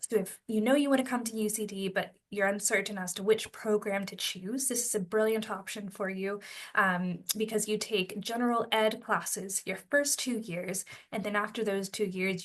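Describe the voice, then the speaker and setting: A woman hosting an online information webinar, presenting options and moderating a student panel with frequent ums. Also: mid-range voice. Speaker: webinar host. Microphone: video call.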